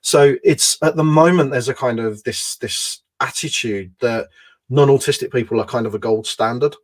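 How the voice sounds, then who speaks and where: Casual: guy explaining stuff earnestly, lots of "you know", normal-pitched voice. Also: moderate pace. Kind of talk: conversational interview.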